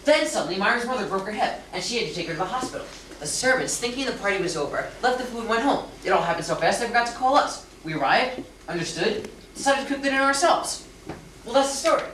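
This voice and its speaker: Woman as earnest crisis-scrambler, theatrical, insistent, quick-talking, very reverberant distant stage. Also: fairly high pitch, expressive, a bit bright. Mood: scheming, insistent. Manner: insistent, exasperated. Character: flustered, earnest man scrambling to manage a crisis. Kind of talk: theatrical stage farce acting. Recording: very echoey distant stage, noisy.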